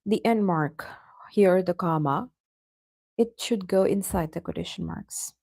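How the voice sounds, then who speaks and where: Patient female teacher for an online class, gravelly voice, dry close sound. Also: on the low side, measured pace, darkish tone, no room sound. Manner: patient, addresses students by name.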